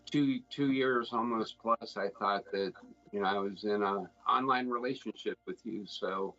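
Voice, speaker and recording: Middle-aged man, gravelly low voice, halting delivery, muffled audio with soft music. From Ohio, United States. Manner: hesitant, halting, many fillers. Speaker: romance scam victim.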